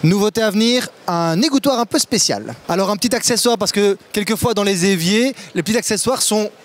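A man in his thirties hosting a casual product demo at a trade fair, lively and questioning, with some stammers. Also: high-pitched, fairly quick. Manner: lively, enthusiastic, asks questions, stammers at times. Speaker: kitchen store host. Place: large indoor trade fair hall. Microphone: handheld mic.

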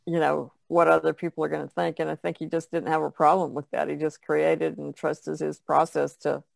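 A woman's voice: low-pitched, flat delivery, moderate pace, vocal fry, no echo. A woman talking casually as a panelist on a podcast. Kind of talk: casual chat.

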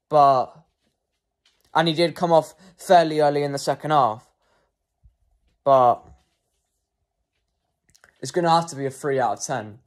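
A man's voice: fairly high pitch, a little mellow. A young man giving casual post-match player ratings as a football fan, hesitant with frequent ums and self-corrections.

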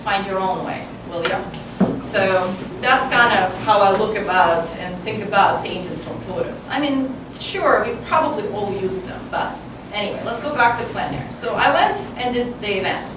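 A woman's voice: medium pitch, expressive, normal speed, few pauses. A woman with a Ukrainian accent talking informally as a painter on a panel, telling her story with asides and questions to herself, recorded from afar in a noisy, echoing hall. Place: large wooden hall with seated audience. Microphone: far camera. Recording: distant, very echoey, noisy room recording.

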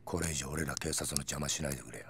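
An actor playing a gruff, menacing middle-aged police detective in a video game cutscene, speaking in a rough, blunt way. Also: male voice, rumbling low, fast, background noise. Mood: scolding.

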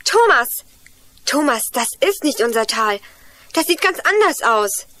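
Earnest young girl, high voice. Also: very animated, a little slow, bright, forceful tone, full character voice. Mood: urgent. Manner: eager, explanatory storytelling. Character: Juliane, earnest young girl lost in forest. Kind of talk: 1980s children's radio play acting.

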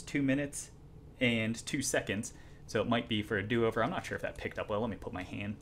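A man with a lowish voice talks casually through an unboxing at home. He is chatty and self-deprecating, often pausing with ums and restarting his sentences. A faint hiss sits under the recording. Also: fairly bright tone. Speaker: watch reviewer. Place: home room, indoors.

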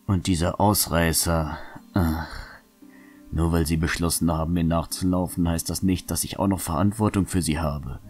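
A man playing a gruff, hard-hearted wanderer in a fan audio drama, grumbling stubbornly as he confides in his horse. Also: low-pitched, lightly acted. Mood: defensive grumbling. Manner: grumbling, stubborn, self-justifying.

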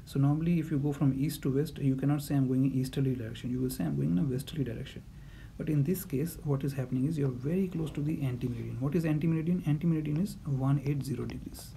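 Methodical male navigation tutor, dark gravelly voice. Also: medium pitch, fast, slight background noise. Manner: methodical, repeats warnings, frequent uh fillers. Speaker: navigation instructor. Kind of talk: explanatory lesson over handwritten notes. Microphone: voice-over.

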